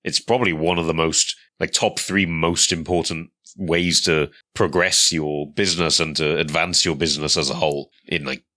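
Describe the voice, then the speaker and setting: A man's voice: a bass voice, moderate pace, hardly any pauses. A young man with a Welsh accent, congested from a cold and sounding nasal, chatting casually as a podcast co-host. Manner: relaxed, laughs often. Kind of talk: casual chat.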